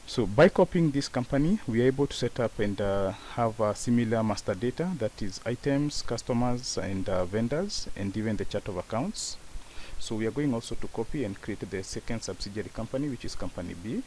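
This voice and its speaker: A man with a fairly low voice walks through a software tutorial step by step. He speaks as an instructor, explaining each part in order. There is a light hiss of noise on the recording. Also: animated, brisk, poor audio. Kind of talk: software tutorial screen walkthrough. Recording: hissy voice-over with faint background noise.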